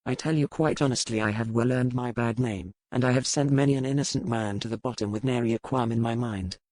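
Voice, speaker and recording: A woman's voice: a bass voice, fairly quick, darkish tone, dry recording, pitched below the performer's own voice. A woman voicing a curt, proud, menacing pirate lord with formal, archaic phrasing in an audiobook. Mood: cold menace. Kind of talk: audiobook character dialogue.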